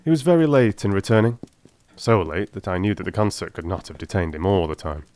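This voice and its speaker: Low-pitched man, grave theatrical audiobook narration. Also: a little fast, a little mellow. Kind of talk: dramatic first-person scripted narration.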